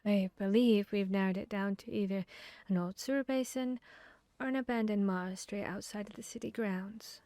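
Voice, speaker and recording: A woman's voice: mid-range voice, moderate pace, warm tone, no echo, close to an everyday voice. A woman playing an earnest, bookish vampire hunter who thinks aloud and gets slightly flustered, in a conversational ASMR audio roleplay. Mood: earnest explaining.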